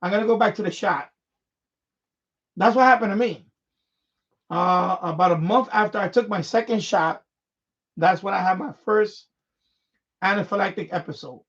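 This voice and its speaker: A man hosting a live stream in casual, unscripted chat, speaking haltingly and often repeating words and phrases. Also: high voice, a bit bright. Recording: dull, lo-fi microphone sound.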